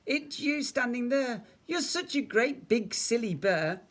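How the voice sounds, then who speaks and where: A woman voicing a small, shy, excitable little boy in a children's storybook, with a squeaky, childlike voice. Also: unhurried. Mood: giggly, playful. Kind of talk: children's storybook read-aloud.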